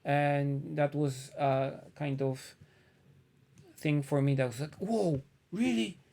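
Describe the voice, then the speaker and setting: Slow, musing man with a Polish accent. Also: fairly high pitch, vocal fry, warm tone. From Poland. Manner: unhurried, thinking aloud, many uh pauses. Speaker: painter streaming a live demo. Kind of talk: casual live-stream commentary while painting.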